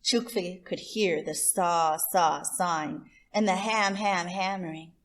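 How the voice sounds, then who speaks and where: A woman reading a picture book aloud to children as host, expressive, with deliberate emphasis on words. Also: lowish voice. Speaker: children's story reader and host.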